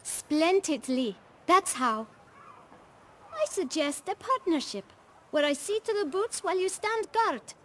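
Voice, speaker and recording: Woman as very high-pitched cheeky street boy. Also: very animated, unhurried, a little hiss or hum, exaggerated voice. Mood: eager, cajoling. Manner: glib, eager, mock-polite. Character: cheeky young bootblack boy, wily street hustler. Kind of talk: video game cutscene acting.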